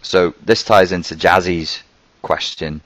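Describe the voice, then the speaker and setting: A man with a very low voice and a softened Scouse accent hosts a live question-and-answer stream. He talks in a loose, conversational way, thinking aloud as he goes. His voice sits close and dry, with no room around it. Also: steady tone, a little slow, no echo. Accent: toned-down Scouse. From Merseyside, England. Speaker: host answering viewer questions.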